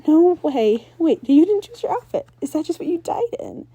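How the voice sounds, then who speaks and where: A woman's voice: extremely high pitch, very animated, moderate pace, mellow, no echo, voice pushed up. A woman voicing a brash, cocky teenage rock-star ghost girl with blunt, teasing, slangy delivery in a read-aloud story. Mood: incredulous.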